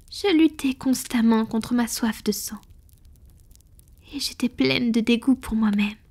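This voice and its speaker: Femme jouant une vampire séculaire à voix haute et soufflée. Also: female voice, a little high. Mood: wistful, confessional. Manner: soft, breathy, confiding, measured. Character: centuries-old female vampire, powerful, tender, confiding, melancholic. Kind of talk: scripted intimate roleplay audio, soft-spoken with whispering.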